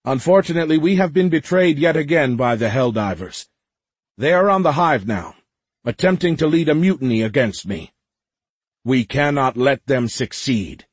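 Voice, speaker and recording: A man's voice: medium pitch, animated, moderate pace, breathy edge, dry recording, voice pushed up. A man voicing a commanding airship captain who addresses his passengers in formal, proclaiming speech, in an audiobook. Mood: grave, rallying. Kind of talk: audiobook character voice.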